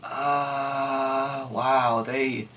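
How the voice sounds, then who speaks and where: A man's voice: mid-range voice, flat delivery, extremely slow, a bit bright. A man giving a dramatic storybook reading, breaking off into chatty, irreverent, sweary asides. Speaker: storyteller reading a folk tale aloud. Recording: distant mic with faint background noise.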